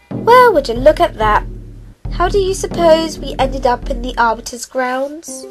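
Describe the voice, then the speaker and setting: A woman's voice: high-pitched, animated, unhurried, faint music behind. A woman playing a haughty, sarcastic twilight princess imp in an amateur radio play. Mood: sarcastic, puzzled. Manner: sarcastic, sighing.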